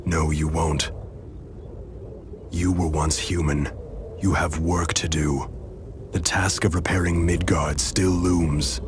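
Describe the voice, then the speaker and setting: A man playing a resigned, calm undead demon warrior in a video game, speaking gravely and solemnly. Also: low-pitched, takes it slowly, long pauses. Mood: grave, firm. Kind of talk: dramatic video game voice acting. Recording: clearly noisy background ambience.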